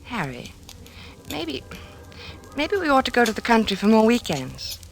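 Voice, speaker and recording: An actress playing a patient, worried wife, gently questioning and coaxing in old-time radio drama style. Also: female voice, a little high, big pitch swings, unhurried, vocal fry, faint music behind, heavily acted. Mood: concerned.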